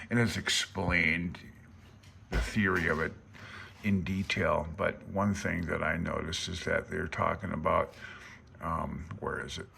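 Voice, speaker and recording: Man, middle-aged, very low pitch, fry in the voice, informal, meandering, self-deprecating, homemade noisy recording. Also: fairly bright tone. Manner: hesitant, meandering, self-deprecating, thinking aloud. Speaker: amateur radio vlogger. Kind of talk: informal rambling walkthrough of a handbook. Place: small room at home.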